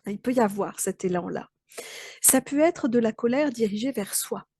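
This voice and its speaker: A woman's voice: fairly high pitch, lively intonation, moderate pace, warm tone, a little hiss or hum. A woman mentor with a slight cold, explaining in a thoughtful, hesitant way with many fillers and restarts. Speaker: mentor and trainer. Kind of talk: explanatory talk to camera.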